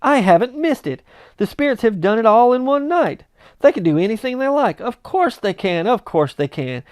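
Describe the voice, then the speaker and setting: A man's voice: very high voice, very animated, normal speed, clear, resonant tone, dry recording, clean studio sound, pitched above the performer's own voice. A man voicing an elated, reformed old miser from a storybook, exuberant and full of repeated exclamations. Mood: delighted.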